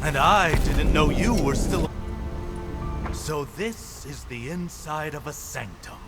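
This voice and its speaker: An actor playing a loyal, good-natured weaponsmith in a fantasy game, expressive and earnest. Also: male voice, fairly high pitch, takes it slowly. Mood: relieved. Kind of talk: dramatic fantasy game acting. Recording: music behind the voice.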